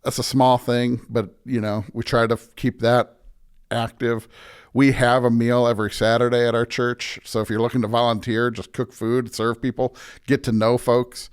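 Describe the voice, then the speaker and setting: An American man who is a pastor, talking informally and a bit haltingly as a guest in a casual interview. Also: medium pitch, level tone, clear, resonant tone.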